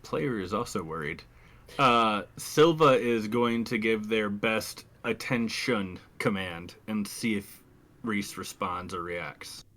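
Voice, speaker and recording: A man's voice: fairly low pitch, slow pace, a bit bright. A man chatting casually as a tabletop game player, describing what his character does.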